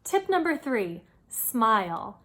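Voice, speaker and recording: A woman's voice: on the high side, sing-song, slow pace, pushed and bright. A woman hosting an informal advice video, explaining things in a conversational, encouraging way. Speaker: host giving career advice. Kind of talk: informal instructional video talk.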